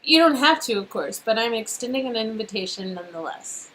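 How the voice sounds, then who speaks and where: A woman reading the part of a gentle, friendly and shyly affectionate young woman in a romance game. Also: a little high, lively intonation, brisk, slight background noise, rough recording. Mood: shyly inviting.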